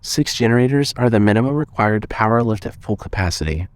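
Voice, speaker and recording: A man narrating a scripted story with measured, suspenseful storytelling. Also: lowish voice, expressive.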